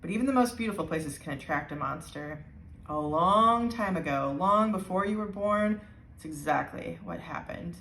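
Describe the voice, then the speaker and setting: Woman as a grouchy old man, solemn, measured, storybook elder, echoey room. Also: fairly low pitch, fairly bright tone, recorded from a distance, slight background noise. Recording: roomy, echoing indoor sound.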